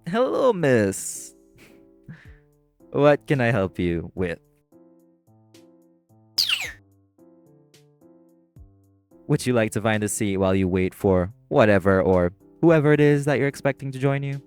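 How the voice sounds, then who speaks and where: A man playing a prim, exasperated waiter in a scripted audio roleplay, speaking formally with deadpan, condescending patience. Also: medium pitch, normal speed, smooth, faint music behind. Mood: polite service. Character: prim, exasperated, sarcastic young waiter boyfriend. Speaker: voice actor.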